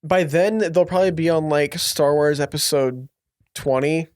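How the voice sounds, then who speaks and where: Young man, fairly high voice, enthusiastic, easygoing, dry close sound. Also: normal speed, no room sound. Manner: enthusiastic, easygoing, says "like" a lot. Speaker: podcast co-host. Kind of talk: casual movie commentary chat.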